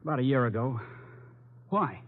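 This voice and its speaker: A man's voice: mid-range voice, animated, normal speed, lightly acted. Man playing an earnest young radio-drama prisoner. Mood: earnest, guarded. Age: 20s. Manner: earnest, guarded. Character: earnest, troubled, loyal young man in jail. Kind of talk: 1940s radio drama acting. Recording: muffled old radio broadcast.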